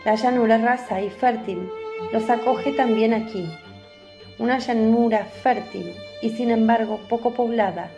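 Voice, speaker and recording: A woman's voice: fairly high pitch, a little slow, over music. A woman reading scripted narration for a biblical radio drama.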